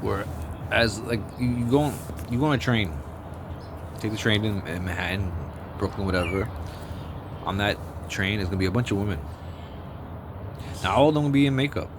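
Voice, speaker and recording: A man with a deep bass voice giving a blunt, profane, rambling opinion monologue with many restarts. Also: moderate pace, pauses often. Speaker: vlogger. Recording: outdoor street noise with passing vehicles.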